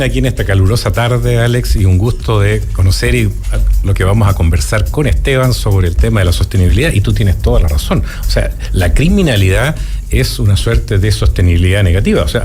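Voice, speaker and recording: A man's voice: on the low side, lively intonation, brisk, darkish tone, soft background music. A man co-hosting a radio show, agreeing with the host and steering the talk back on topic. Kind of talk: casual radio chat.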